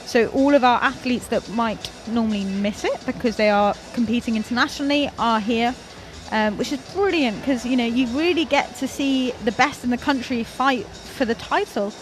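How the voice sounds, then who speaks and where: A bright, higher-voiced young woman from North Wales calls a climbing competition live, chatty and eager, cheering the climbers on. She laughs easily at her own slips, and venue music plays clearly behind her. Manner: chatty, enthusiastic, quick to laugh at herself. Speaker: commentator.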